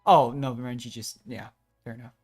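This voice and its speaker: A woman's voice: rumbling low, fairly even intonation, normal speed, darkish tone, breathy edge, faint music behind. A woman streaming a game, giving casual, chatty commentary and musing aloud as she thinks things through.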